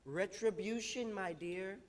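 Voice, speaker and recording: Old fairy-tale king male actor: pompous, unhurried, lightish pitch, ringing stage acoustics. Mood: grand, absentminded. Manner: pompous, rambling. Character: doddering, pompous old king, fond of proclamations. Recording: reverberant stage hall.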